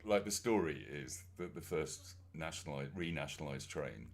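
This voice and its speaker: A softly spoken man co-hosting a casual chat, trading dry, teasing quips. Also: very deep voice, animated, moderate pace.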